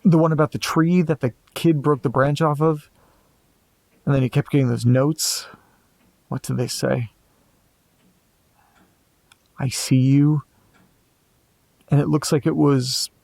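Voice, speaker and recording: A man playing an anxious amateur investigator in a horror audio drama, muttering hesitantly to himself in a gravelly voice. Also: a little high, fairly even intonation, slow, long pauses, darkish tone, no room sound, heavily acted. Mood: puzzled, musing. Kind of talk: audio drama monologue, reading and musing aloud.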